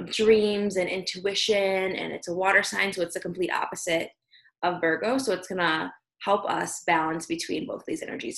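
Woman, lowish voice, sleepy and a bit raspy, casual podcast chat, many fillers, video call. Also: fairly bright tone. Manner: chatty, explains astrology with many fillers. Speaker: podcast co-host.

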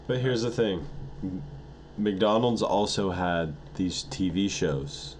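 A man's voice: sits low, lively intonation, slow, slight background noise. A man in his twenties co-hosting a podcast, playful and laid-back in casual chat. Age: 20s. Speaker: podcast co-host.

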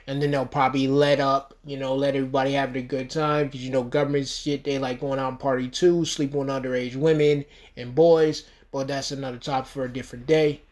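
A man's voice: mid-range voice, level tone, brisk, hardly any pauses, darkish tone. A man in his thirties from the United States, talking alone on his podcast in a rambling, emphatic way, full of "you know" fillers. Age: 30s. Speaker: podcast host. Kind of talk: solo podcast monologue.

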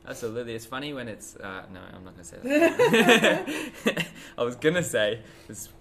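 A man hosting a podcast in casual chat, chatty and quick to giggle. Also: medium pitch, sing-song, a crowd in the background. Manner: giggly, chatty, laughs often. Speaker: podcast host.

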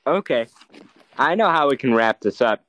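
A man's voice: mid-range voice, expressive, normal speed, a bit bright, no echo, poor audio. A young man co-hosting a movie review podcast, teasing and making crude jokes in casual banter. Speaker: podcast co-host.

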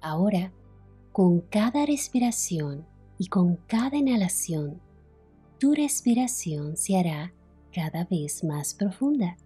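A woman leading a guided relaxation as a meditation instructor, soothing and unhurried, with long pauses between phrases. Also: fairly low pitch, sing-song, mellow, breathy edge, soft background music. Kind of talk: guided relaxation, yoga nidra narration.

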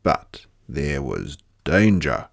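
A man reading a children's story aloud with expressive delivery and drawn-out, dramatic emphasis. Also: a bass voice, vocal fry, no echo, clean studio sound.

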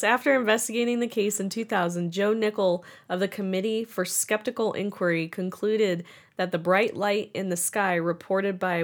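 A young woman co-hosting a casual podcast, playful and teasing, reading from research notes between laughs. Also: medium pitch. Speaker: podcast co-host.

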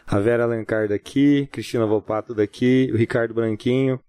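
A Brazilian man hosts a podcast in a casual, conversational way. His medium-pitched voice is recorded dry, with hardly any room around it. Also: steady tone, moderate pace, warm tone, clear, resonant tone, dry recording. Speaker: podcast host.

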